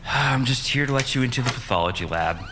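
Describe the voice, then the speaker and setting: An actor playing a gruff, weary, sarcastic man working at a small-town hospital, curt and deadpan, in an audio drama. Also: mid-range voice, brisk, background noise, natural voice. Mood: curt, irritated.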